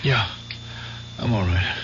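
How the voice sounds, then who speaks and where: A muffled old radio recording with heavy noise carries a guilt-ridden veteran near forty. His voice is lowish and earnest, with a hesitant, troubled delivery in radio-drama style. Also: slow pace, fairly bright tone. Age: 30s. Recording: muffled, noisy radio broadcast recording, humming.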